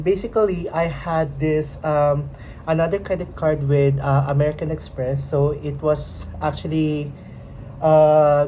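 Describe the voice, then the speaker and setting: Young Filipino-accented woman, unhurried home vlog; low, mellow voice, lots of hesitant pauses, faint room hum behind. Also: rough recording. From Philippines. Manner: hesitant, searching for words, many fillers. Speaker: vlogger. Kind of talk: casual unboxing vlog. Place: home at night.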